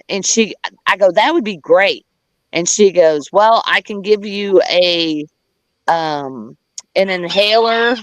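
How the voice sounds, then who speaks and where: A woman's voice: mid-range voice, no room sound. A woman tells a personal story in casual, candid talk, recorded dry and close with little room sound. She swears freely, drifts into side details and voices the people she quotes. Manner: candid, profane, rambling with digressions; acts out quoted dialogue.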